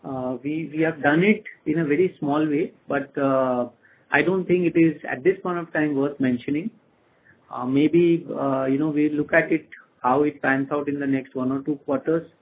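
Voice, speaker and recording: A man with an Indian accent and a husky voice, answering analysts on an earnings call as a company executive, hesitantly and with repeated phrases. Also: on the high side, steady tone, moderate pace. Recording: muffled narrow phone conference line.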